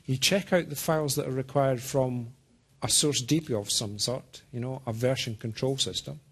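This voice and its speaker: A man's voice: medium pitch, slightly rough. Man with a half-Scottish, half-American accent. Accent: Scottish-American mix. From Scotland. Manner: deliberate, halting delivery, checks in with listeners. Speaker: software program manager giving a presentation. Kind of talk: informal technical talk to a small audience.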